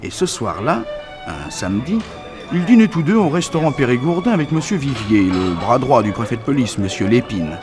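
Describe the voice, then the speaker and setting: A male narrator played by an actor for a vintage radio detective serial. His delivery is dramatic and suspenseful with lively swings in pitch, over faint music. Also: moderate pace, natural voice. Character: storytelling nephew narrating his detective uncle's exploits.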